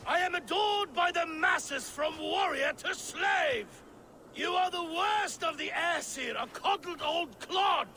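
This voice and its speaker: A man's voice: very high-pitched, slow pace, pushed and bright, a little hiss or hum, heavily acted. A man voicing a boastful, belligerent thunder god in a video game, declaiming taunts with theatrical bombast. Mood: boastful, scornful.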